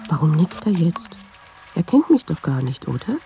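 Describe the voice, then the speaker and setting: A woman's voice: sits low, sing-song, moderate pace, vocal fry, lo-fi sound, slight background noise, heavily acted. An actress playing a watchful, nervous woman who muses hesitantly in an intimate radio drama monologue, dropping into half-whispers. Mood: suspicious, uneasy. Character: watchful, nervous woman narrating her inner thoughts.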